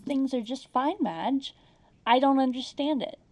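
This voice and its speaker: A woman's voice: sits high, a little slow, loud music behind the voice. A woman playing an anxious, long-suffering housewife who speaks in a fretful, meek way in a comedy audio drama. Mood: uneasy relief.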